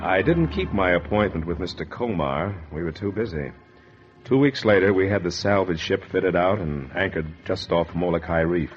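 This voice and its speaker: Deep-voiced, hard-boiled salvage diver. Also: male voice, lively intonation, moderate pace, music in the background. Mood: brooding narration. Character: tough, cool-headed salvage diver narrating his own adventure. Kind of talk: 1940s radio acting, first-person narration and dialogue. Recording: muffled, very noisy 1940s radio recording.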